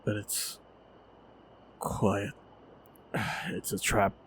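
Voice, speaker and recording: A man playing a stoic, wry and protective monster hunter in a fantasy action audio roleplay, speaking in terse, measured, understated lines. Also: on the low side, completely flat delivery, a little hiss or hum. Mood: wary.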